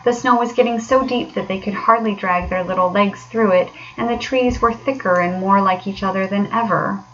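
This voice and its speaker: A woman, a teacher reading aloud, giving an expressive narration of a children's story. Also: mid-range voice, moderate pace, highs cut off, a little hiss or hum.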